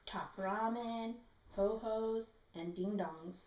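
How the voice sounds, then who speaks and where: A woman's voice: mid-range voice, takes it slowly, darkish tone, lightly acted. A woman playing a puppet granddaughter telling a family story in a scripted film monologue. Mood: matter-of-fact. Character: puppet granddaughter recounting grandmother's fatal diabetes. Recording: dull echoey recording, faint noise.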